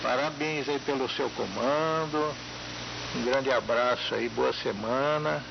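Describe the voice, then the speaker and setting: A muffled radio transmission with clear hiss and buzz carries a Brazilian man's gravelly voice. He chats casually as an amateur radio operator, friendly and talkative, often tagging his phrases with little questions. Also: mid-range voice, slow. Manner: friendly, chatty, frequent tag questions. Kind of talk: casual ham radio chat with greetings and call signs.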